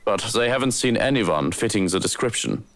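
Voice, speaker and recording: Composed male aide, dry, cheeky, animated intonation, visual novel acting. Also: lowish voice, a little fast. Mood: matter-of-fact.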